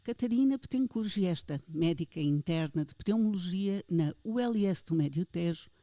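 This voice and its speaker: A woman's voice: lowish voice, animated, moderate pace, dark tone, no room sound. A woman with a European Portuguese accent hosting a health podcast, interviewing a guest with playful, theatrical questions. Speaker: podcast host.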